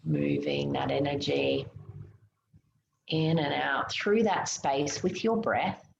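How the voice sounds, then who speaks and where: A woman leading a guided meditation in a soothing, gentle way, giving unhurried instructions with pauses. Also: sits low, lively intonation, creaky. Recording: muffled, roomy, noticeable background hiss.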